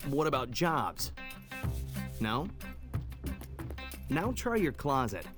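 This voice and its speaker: A man's voice: medium pitch, wildly swooping pitch, slow. A man reading a scripted commercial voice-over in a playful, teasing manner. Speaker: ad voice-over announcer. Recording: music bed under voice.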